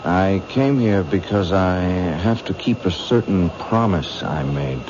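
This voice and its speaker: An actor playing a menacing, hesitant young killer in a 1970s radio drama, with halting, slangy speech. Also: male voice, low-pitched, expressive, a crowd in the background. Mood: quietly menacing. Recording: dull, noisy old radio recording.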